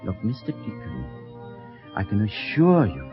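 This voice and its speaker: An actor playing a courteous, flustered English college provost in a 1970s radio drama, hesitant and formal in manner. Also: male voice, on the low side, huge pitch swings, measured pace, heavily acted. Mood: evasive, hesitant. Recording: muffled vintage radio recording with music.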